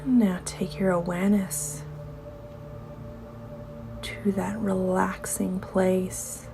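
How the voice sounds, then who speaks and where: Female meditation guide, yoga-teacher delivery: soothing, soft and near-whispered at times, very unhurried, with some vocal fry. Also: medium pitch, little pitch movement, noisy background. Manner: unhurried instruction with gentle pauses.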